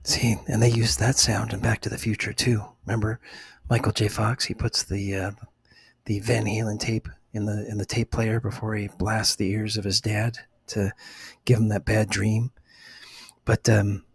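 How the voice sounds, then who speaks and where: A middle-aged man chatting casually for ASMR in a hushed, breathy, whispery voice with an unhurried manner. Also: lowish voice, flat delivery, no echo. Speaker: ASMR video host. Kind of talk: ASMR, casual unscripted chat.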